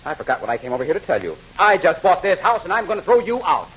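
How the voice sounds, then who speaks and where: An irate older neighbor blusters and gets flustered in a 1940s radio comedy. The voice is high, piercing and animated, on a dull old broadcast. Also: male voice, rapid-fire, breathy edge, exaggerated voice. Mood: furious, flustered. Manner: blustering, losing the thread.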